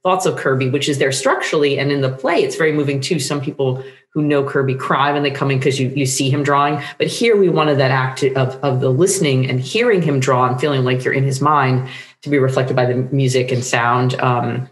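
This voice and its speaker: A woman's voice: rumbling low, a little fast. Enthusiastic rambling woman in an echoey room. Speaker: interview guest, playwright. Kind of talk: casual interview chat. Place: book-lined home room, indoors. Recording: roomy home video call.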